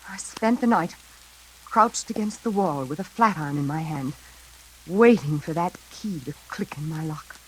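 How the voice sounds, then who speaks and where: A woman playing a nervous, desperate heroine in a 1940s radio suspense drama, halting and breathless. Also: deep voice, slight background noise, close to an everyday voice, low-quality recording. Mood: haunted. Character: frightened, haunted single woman, nervous and desperate. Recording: old radio recording.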